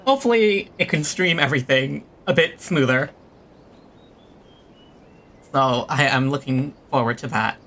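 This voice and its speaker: A game streamer chatting casually to viewers, rambling and low-key with a self-deprecating touch. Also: unhurried, pauses often, a bit bright. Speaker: livestreamer playing a video game. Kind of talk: casual livestream chat and game commentary.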